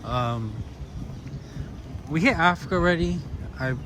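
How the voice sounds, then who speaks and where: A man from Guyana chatting casually while he films a theme park visit outdoors, relaxed and offhand. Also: a little high, measured pace. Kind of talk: casual vlog chat. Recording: loud outdoor background noise. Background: crowd ambience.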